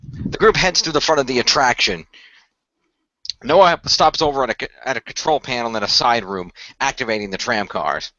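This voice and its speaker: Halting male storyteller with a bright voice. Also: medium pitch, animated, no echo, muffled recording. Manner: halting, restarts phrases. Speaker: narrator and co-creator of a radio play. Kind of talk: improvised story narration.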